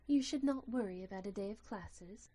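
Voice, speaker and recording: A woman playing a delicate glass sylph in an audio drama, speaking in a formal, measured, matter-of-fact way. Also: medium pitch, warm tone, lightly acted. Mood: calm, matter-of-fact. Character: delicate glowing female glass sylph, calm and earnest.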